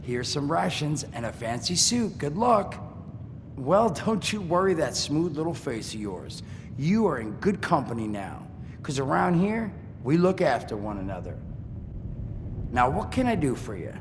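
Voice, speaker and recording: An actor playing a wisecracking male ghoul trader in a video game, talking in a joking, streetwise drawl with a gravelly voice. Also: fairly high pitch, big pitch swings, a little slow. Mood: friendly. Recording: game audio with long reverb and noise.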